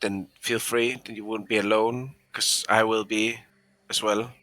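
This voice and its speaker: A man's voice: lowish voice, little pitch movement, measured pace, pushed and bright, dry recording, poor audio. A man in his thirties playing a tabletop game, chatting and teasing his friends in a joking way. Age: 30s. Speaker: player. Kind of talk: casual chat between tabletop game turns.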